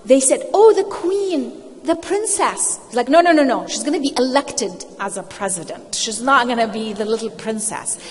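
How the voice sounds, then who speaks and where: Animated woman telling a story in a hall. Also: a little high, moderate pace, few pauses, a little hiss or hum. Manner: animated storyteller, voice rising on quotes. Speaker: panelist. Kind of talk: storytelling on a panel. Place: event hall. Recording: reverberant hall.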